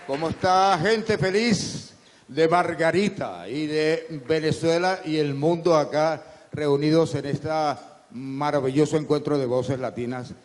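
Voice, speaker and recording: Man greeting the crowd, slow and drawn out, far off in a hall. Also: fairly high pitch. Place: large event hall. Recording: long hall reverb, distant.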